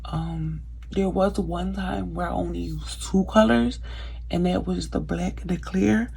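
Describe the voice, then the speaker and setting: Gravelly low-voiced woman vlogger. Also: fairly even intonation, a little slow, a little mellow. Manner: chatty, many fillers, addresses viewers as "you guys". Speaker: craft vlogger. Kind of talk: casual how-to vlog chat.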